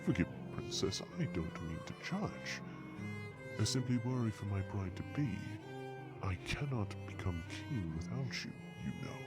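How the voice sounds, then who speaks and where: A man's voice: fairly low pitch, very animated, moderate pace, loud music behind the voice. A man playing a haughty, scheming dragon suitor in an audio drama, formal and condescending as he taunts.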